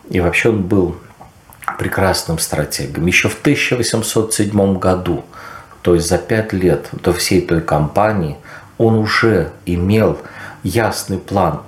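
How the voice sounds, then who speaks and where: A man giving a reflective, measured talk about history, pausing often. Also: low voice. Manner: measured, with pauses and recited verse. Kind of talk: reflective lecture-style talk. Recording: roomy echo.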